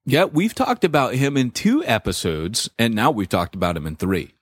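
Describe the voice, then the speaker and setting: A man co-hosting a podcast in casual banter, joking and self-deprecating, with a deep voice and lots of filler words. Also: expressive, moderate pace, slightly rough, dry recording, studio quality. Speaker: podcast co-host.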